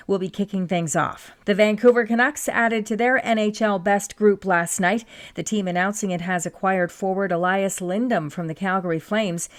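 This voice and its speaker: A woman with a Canadian accent reading a national newscast in a steady, measured way. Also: mid-range voice. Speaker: newsreader. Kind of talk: scripted news reading.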